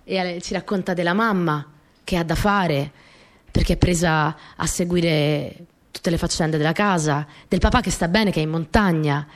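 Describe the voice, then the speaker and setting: A woman's voice: fairly low pitch, measured pace, close to an everyday voice. An actress playing an earnest, dreamy young Italian partisan courier who recalls her wartime girlhood in an intimate, simply told radio monologue. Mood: fond, lively. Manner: simple, conversational, reminiscent storytelling with short phrases. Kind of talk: radio theatre monologue, intimate first-person storytelling.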